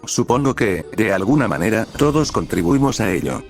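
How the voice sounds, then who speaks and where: A man's voice: on the low side, lively intonation, brisk, darkish tone, faint music behind, lightly acted. A man voicing a fierce, determined young girl in a storybook reading, blunt and angry.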